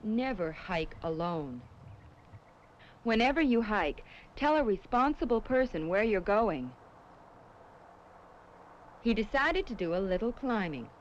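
An earnest safety superheroine from a 1970s educational film. She instructs children in a measured, preachy yet reassuring way at a medium pitch. The old soundtrack is dull, with some noise behind her. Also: big pitch swings. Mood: reassuring, cautionary. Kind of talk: scripted 1970s educational film acting and narration.

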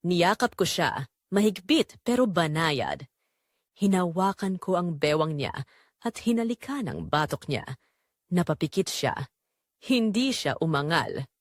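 A woman reading a scripted first-person dramatic story in a measured, expressive storytelling manner. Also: lowish voice, no room sound, clean studio sound. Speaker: narrator.

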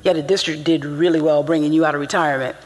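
A woman's voice: low-pitched, fairly even intonation, quick pace, noisy background, close to an everyday voice. A middle-aged woman playing a firm, caring school principal in a stage drama, speaking emphatically. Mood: cheerful. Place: theatre stage before an audience. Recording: echoey theatre hall, loud music.

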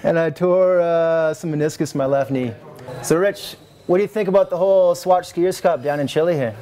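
A relaxed young man from Canada talks shop in an easygoing, chatty way. His voice sits a bit high but sounds mellow and soft-edged, recorded indoors in a lodge room. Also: a little fast. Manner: easygoing, laid-back, laughing often. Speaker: pro skier. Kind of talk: casual chat.

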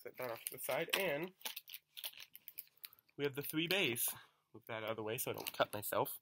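A young man with a cold chatting excitedly through a toy unboxing at home, recorded on a camera mic. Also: medium pitch, normal speed, background noise. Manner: excited, chatty, self-deprecating. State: coming down with a cold. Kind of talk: casual unboxing commentary. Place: small home room, bedsheet backdrop.

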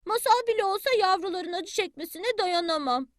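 Woman doing a high, eager little chick. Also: lively intonation, fairly quick, no room sound, clean studio sound. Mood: worried.

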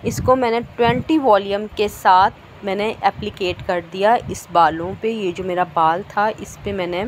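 A woman's voice: medium pitch, steady tone, moderate pace, a little hiss or hum, rough recording. A woman, a hairstylist narrating a casual how-to tutorial in a salon and often saying "theek hai ji". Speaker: hairstylist and channel host. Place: hair salon.

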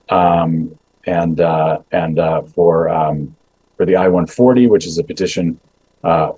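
A middle-aged man speaks as a government official over a video call. His voice sits low and mellow with a husky edge. He talks in a measured, halting way, full of ums and restarts. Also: rough recording. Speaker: immigration agency official. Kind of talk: prepared remarks and Q&A answers. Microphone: video call webcam.

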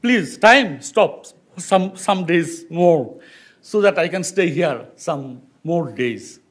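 Man, 60s, slow, halting, somewhat high voice, lectern mic, library room. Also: expressive. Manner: slow, halting, with repeated restarts. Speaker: visiting writer on a panel. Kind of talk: reflective personal speech. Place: public library meeting room. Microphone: lectern microphone.

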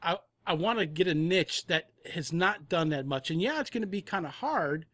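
A man's voice: fairly high pitch, animated, moderate pace, a bit bright, no echo, clean studio sound. A man talking casually as a guest on a podcast, in the role of an audio drama producer.

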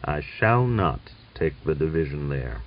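A man's voice: rumbling low, sing-song, unhurried, far from the mic, voice pushed down, heavily acted. Man acting a defiant, thick-voiced military commander, muffled sound. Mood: stubborn refusal. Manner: stubborn, challenging. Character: defiant, proud, hot-blooded male Cossack division commander. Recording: dull lo-fi recording.

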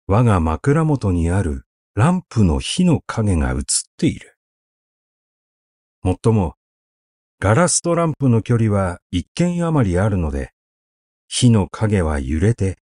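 A man reading literary prose as an audiobook narrator, in a measured, deliberate storytelling delivery. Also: fairly low pitch, mellow, no room sound, clean studio sound. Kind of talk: literary recitation, scripted narration.

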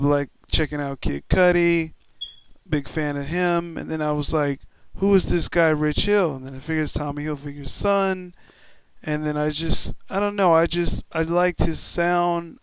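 A man's voice: on the high side, clear, resonant tone, no room sound. A man talking casually and enthusiastically in a rambling, interview-like way about his creative work. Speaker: music video maker. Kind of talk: casual interview-style talk.

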